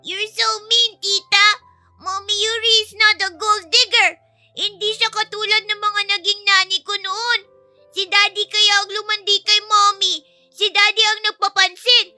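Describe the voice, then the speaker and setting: Very high, bright tearful child. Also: female voice, level tone, measured pace, faint music behind, heavily acted. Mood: crying, indignant. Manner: wailing, pleading, emphatic. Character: tearful, indignant little child, fiercely attached to mommy.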